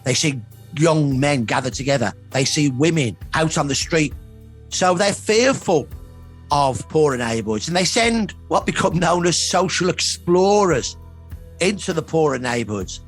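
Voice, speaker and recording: A man's voice: on the high side, animated, unhurried, faint music behind. A middle-aged or older man speaking as a historian in a documentary interview, explaining in emphatic, short repeated phrases.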